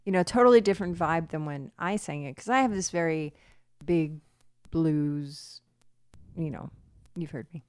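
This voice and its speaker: A woman's voice: deep voice, moderate pace, clean tone, no room sound, clean studio sound. A woman co-hosting a podcast and talking about her songwriting in casual, playful, teasing chat. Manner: playful, teasing, self-mocking.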